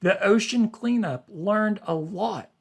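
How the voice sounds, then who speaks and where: A man, a teacher reading an article aloud to learners and explaining it in simple, deliberate phrasing. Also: high-pitched, vocal fry.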